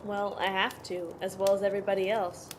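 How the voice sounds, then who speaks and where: A woman's voice: mid-range voice, flat delivery, fairly quick, close to an everyday voice. A woman playing a tough, protective sister who mothers her brothers, explaining things matter-of-factly in an amateur audio play. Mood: protective, firm. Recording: noisy amateur home recording.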